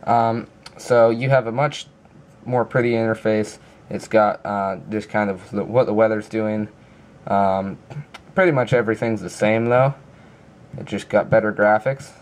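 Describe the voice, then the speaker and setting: A man's voice: lowish voice, fairly even intonation, a little slow. A man giving a casual, informal review walkthrough in a plain-spoken explaining manner. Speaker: app reviewer.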